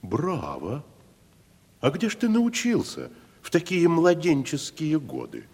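An actor playing a pompous, worldly wandering gentleman who declaims theatrically in a classic Russian radio drama. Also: on the high side, huge pitch swings, slow pace, husky, heavily acted. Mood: mocking, philosophical. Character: worldly, theatrical wandering gentleman, grandiloquent nephew of a rich landowner. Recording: long hall reverb.

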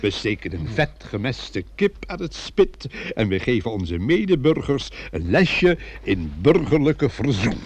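Husky, fatherly village priest. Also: mid-range voice, sing-song, unhurried, no echo, heavily acted. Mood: fatherly, jovial. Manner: solemn, fatherly, unctuous. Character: pious, genial village priest fond of good food. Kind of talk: radio play acting.

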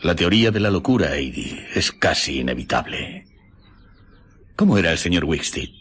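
An actor with a Spain accent playing a thoughtful, troubled gentleman doctor in a radio drama, with reflective, theatrical phrasing. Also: very deep voice, big pitch swings, moderate pace, breathy edge, full character voice. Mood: pensive, concerned. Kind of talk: Spanish radio drama acting.

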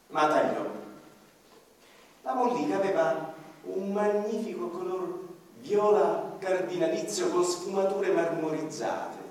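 An actor playing an embittered man who mourns his poisoned seaside town, speaking in a slow, solemn stage monologue. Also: mid-range voice, slight background noise, close to an everyday voice. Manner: slow, solemn declamation with dramatic pauses. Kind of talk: theatrical monologue. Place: theatre stage. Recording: distant, echoey stage recording in a hall. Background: violin music.

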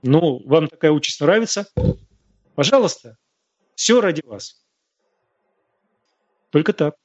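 A man's voice: lively intonation, measured pace, no room sound, highs cut off. Scornful, emphatic man lecturing on radio. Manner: emphatic and scornful, with sharp rises in pitch. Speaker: radio host answering listener questions. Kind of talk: live radio talk, lecturing.

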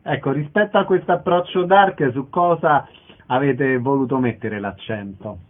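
A man hosting a radio show, announcing dates and guests in a brisk, formal way and asking questions. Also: mid-range voice. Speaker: radio host. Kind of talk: radio interview. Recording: tinny phone-like sound with faint hiss.

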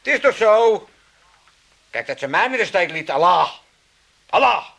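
Comic radio play: self-pitying elderly father, high-pitched and animated, theatrically indignant. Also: a little slow, vocal fry, exaggerated voice. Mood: aggrieved. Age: older. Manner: blustering, theatrical, insulting. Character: cantankerous, self-pitying old father from a retirement home.

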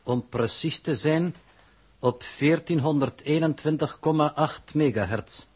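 An actor playing a formal, pedantic, coolly authoritative mission coordinator in 1960s radio drama style, speaking in measured, official phrases. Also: male voice, fairly high pitch, darkish tone, slightly rough. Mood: measured, explanatory.

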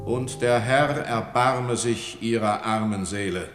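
An actor playing a stern judge in a radio play, pronouncing formally and gravely. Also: male voice, medium pitch, slow, over music, natural voice. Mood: grave, solemn. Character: solemn, stern judge pronouncing a death sentence. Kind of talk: German radio play acting. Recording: long echoing hall reverb.

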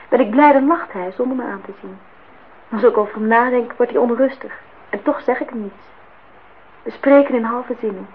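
A woman's voice: fairly high pitch, lightly acted. A woman acting in a radio play as a defiant, idealistic young student, earnest and outspoken. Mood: earnest, tender. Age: young adult. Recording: dull, noisy old radio recording.

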